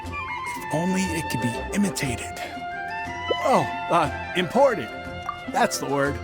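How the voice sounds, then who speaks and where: A self-styled humble merchant from a video game, shifty and ingratiating. His high voice drags slowly and stumbles as he bluffs. Music plays clearly behind him. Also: huge pitch swings, full character voice. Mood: sly, curious.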